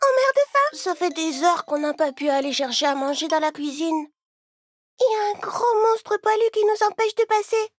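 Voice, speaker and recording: A woman voicing tiny friendly cartoon mice in a fairy tale, squeaky, childlike and eager. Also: expressive, normal speed. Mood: excited, plaintive.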